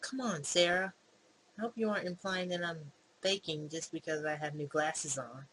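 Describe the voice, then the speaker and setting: A gamer voicing a defensive, hesitant young man in a visual novel, with stammering, halting protests. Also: medium pitch, fairly even intonation, sharp tone, natural voice, poor audio. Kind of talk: visual novel character reading. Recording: clear background noise.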